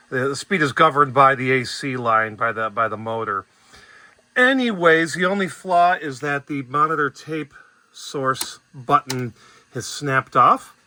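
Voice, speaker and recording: A man casually talking through a tape machine repair at his workbench, plain and unhurried, with fillers. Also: mid-range voice, pushed and bright, no room sound. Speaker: repair vlogger. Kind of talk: casual workshop narration.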